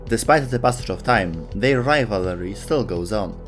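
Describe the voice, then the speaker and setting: A man's voice: lowish voice, expressive, normal speed, soft background music. A man delivering scripted narration as the narrator of a video essay.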